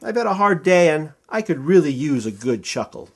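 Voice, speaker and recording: A man's voice: on the high side, big pitch swings, normal speed, husky, exaggerated voice. A man voicing a weary, wistful, friendly flower seller in a children's storybook. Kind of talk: children's storybook read aloud.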